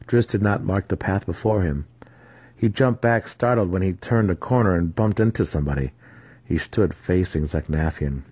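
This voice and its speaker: A man reads fantasy fiction as a dramatic narrator. His voice is deep and dark, with a husky grain running through it. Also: no echo. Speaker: audiobook narrator.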